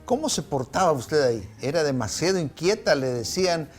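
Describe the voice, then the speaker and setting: A man's voice: a little high, sing-song, brisk, faint music behind. Playful Salvadoran man hosting. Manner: probing, playful, prompts with short backchannels. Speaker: host. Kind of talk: casual interview chat.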